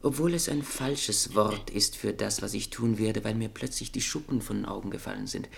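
An actor playing a proud, brooding intellectual and irritable lover in a German radio play, dry, clipped and sardonic, with a gravelly voice. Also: male voice, on the low side, moderate pace, heavily acted. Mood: sardonic, defensive.

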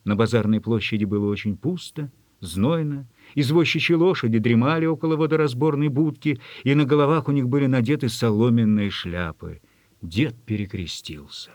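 A man narrating a literary story from a script in a measured, expressive way. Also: mid-range voice, no room sound.

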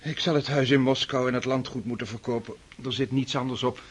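An actor playing a soft-hearted, hesitant middle-aged father troubled by debts. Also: mid-range voice, fairly even intonation, a little fast, slightly rough, natural voice. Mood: gentle. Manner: gentle and hesitant. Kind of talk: radio drama acting.

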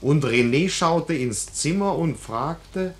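A man's voice: fairly high pitch, lively intonation, slow pace, husky. A man narrating a scripted tale for 1940s radio in a playful, conspiratorial manner.

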